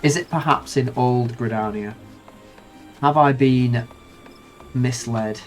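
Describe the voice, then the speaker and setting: A man's voice: mid-range voice, slow, faint music behind. A man hosting a casual game playthrough, sounding nasal and subdued from a bad cold, with a wry, self-deprecating manner. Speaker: gaming video host. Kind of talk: casual let's-play commentary.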